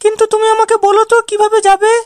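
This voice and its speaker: A woman's voice: extremely high pitch, completely flat delivery, racing, darkish tone, dry recording. A woman voicing a coy, sweet young married woman in a comic cartoon, with a pleading manner. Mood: curious, doubtful. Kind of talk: comic amateur cartoon dialogue.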